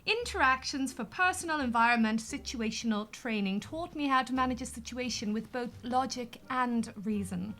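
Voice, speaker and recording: A woman's voice: on the high side, moderate pace, few pauses, bright, forceful tone. A woman playing an earnest, pompous, over-dramatic branch manager in an audio sitcom. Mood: brisk, dramatic.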